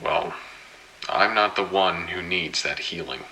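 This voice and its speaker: A man playing a weary, brooding character, halting and somber, with hiss behind him. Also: deep voice, bright, forceful tone, heavily acted, low-quality recording. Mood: troubled. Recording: hissy background noise.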